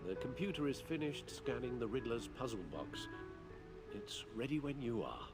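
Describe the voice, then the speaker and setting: An actor playing an elderly, formal and dryly wry butler in a video game. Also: male voice, mid-range voice, big pitch swings, moderate pace. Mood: polite, wry. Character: courteous, dry elderly male butler. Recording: loud background music under dialogue.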